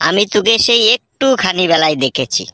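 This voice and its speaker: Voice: mid-range voice, very animated, brisk, bright, forceful tone, dry recording, clean studio sound. A performer voicing a nosy, teasing village uncle in a Bengali comedy cartoon, prying with needling questions. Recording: music behind the voice.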